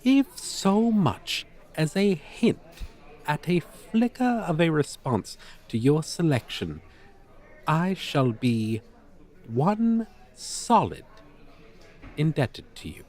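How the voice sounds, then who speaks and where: A man's voice: fairly high pitch, very animated, slow pace, a little mellow. A man playing a haughty, formal dragon teacher in an audio roleplay, with pompous, wordy, old-fashioned phrasing. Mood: haughty, amused. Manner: pompous, verbose, archaic formal diction. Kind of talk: ASMR roleplay, character acting.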